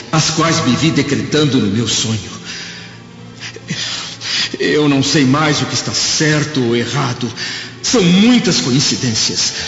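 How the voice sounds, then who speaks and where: Radio drama: solemn, troubled Roman senator, theatrical delivery, reverberant hall, faint music underneath. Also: male voice, medium pitch. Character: troubled, earnest Roman senator, haunted by doubt. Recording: echoey hall reverb, dull audio.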